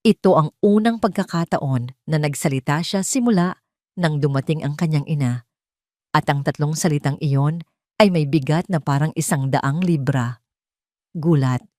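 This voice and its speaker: A woman's voice: low voice, moderate pace, a little mellow, no echo, studio quality. A woman storyteller reading scripted dramatic narration for an audio romance story.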